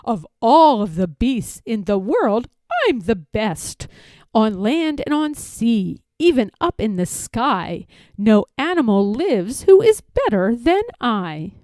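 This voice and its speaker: Storybook rabbit, male, vain and snappy, lively intonation, measured boasts, performed by a woman. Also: fairly high pitch, dry recording, clean studio sound. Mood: proud bragging. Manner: bragging, snappy, self-important. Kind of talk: storybook read-aloud character voice.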